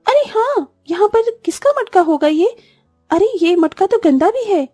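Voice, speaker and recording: Woman as young cartoon sister, high-pitched, mellow, eager, childlike, dry sound, music behind. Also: lively intonation, a little slow, no echo. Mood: curious. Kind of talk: Hindi cartoon story dialogue.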